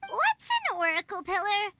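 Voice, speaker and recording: Squeaky, extremely high little fairy. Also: female voice, very animated, moderate pace, over music, heavily acted. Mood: curious, puzzled. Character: tiny childlike fairy companion, curious and perky. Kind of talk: video game voice acting.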